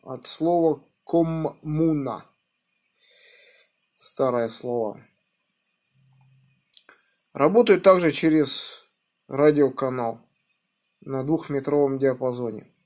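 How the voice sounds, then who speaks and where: A middle-aged man from the Moscow region talking as an amateur radio operator in a measured, deliberate, encouraging manner. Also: medium pitch, darkish tone. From Moscow region, Russia. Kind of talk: ham radio contact, spelling out callsigns phonetically. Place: indoors at a home radio desk by a window. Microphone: phone filming the radio.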